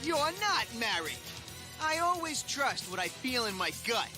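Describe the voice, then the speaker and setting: A man's voice: extremely high pitch, very animated, unhurried, exaggerated voice. An actor voicing a brash, loyal teenage boy duelist who talks with cocky swagger. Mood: defiant. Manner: cocky, gut-trusting swagger. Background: dub soundtrack music.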